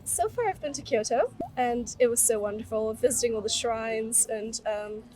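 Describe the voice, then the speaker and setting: A high-voiced woman from Poland answers questions on a city street, gushing and enthusiastic, scattering "like" and "you know" through her answers. Her voice sits a little back from the microphone. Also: moderate pace, warm tone, distant mic. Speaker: interviewee. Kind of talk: street interview.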